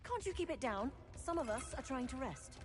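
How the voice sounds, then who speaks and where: A woman's voice: high-pitched, big pitch swings, brisk. An actress playing a peevish, easily disturbed woman in a video game. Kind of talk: video game character acting. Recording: long hall reverb under loud music.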